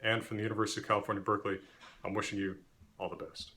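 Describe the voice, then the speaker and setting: A man explaining language history in a measured, clear, professorial lecture. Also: on the low side, flat delivery, pushed and bright, a little hiss or hum.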